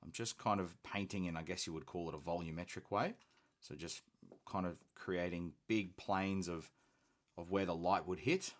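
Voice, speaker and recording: Very deep-voiced Australian man, posh accent. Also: moderate pace. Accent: posh-sounding Australian. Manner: relaxed, chatty, self-deprecating. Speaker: hobby stream host. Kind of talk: casual live-stream chat while painting.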